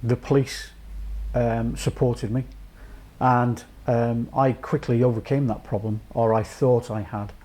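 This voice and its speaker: A man from North Wales in his late fifties, a charity chief executive giving a measured, reflective personal account with many pauses. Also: medium pitch, fairly even intonation, warm tone. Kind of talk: personal testimony to camera.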